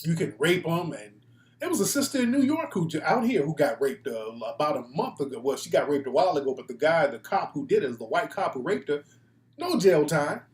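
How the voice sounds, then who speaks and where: Male American talk host, slightly high voice, blunt and profane, off-the-cuff monologue, roomy echo. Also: sing-song, a little fast. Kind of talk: casual opinionated live talk show monologue. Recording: echoey room.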